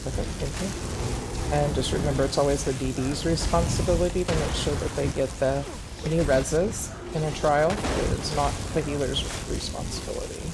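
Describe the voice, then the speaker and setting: A man calmly explaining tactics step by step as he leads a group of players through a game on stream. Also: fairly high pitch, normal speed, heavy background noise. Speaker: raid leader.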